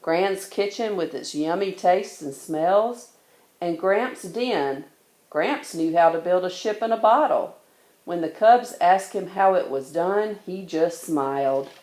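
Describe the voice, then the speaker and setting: Lady reading kids a bedtime story, kinda low voice, echoey room. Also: lively intonation, slow pace, darkish tone. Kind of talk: expressive children's storybook narration.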